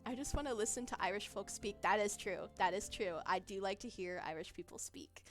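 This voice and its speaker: A woman's voice: mid-range voice, fairly quick. Giggly young woman chatting on stream. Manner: giggly, rambling, many fillers. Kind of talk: casual livestream chat, reading chat. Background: faint background music.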